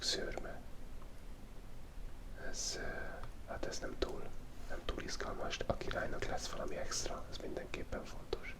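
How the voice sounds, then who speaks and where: A soft, airy whisper that barely rises or falls, with hardly any melody in it. The speaker talks to themself while sketching, musing in a relaxed and playful way. Every word is whispered without voice. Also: very high voice. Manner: thinking aloud, relaxed and playful; soft unvoiced whisper throughout. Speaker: ASMR artist drawing a goblin. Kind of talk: whispered ASMR drawing commentary.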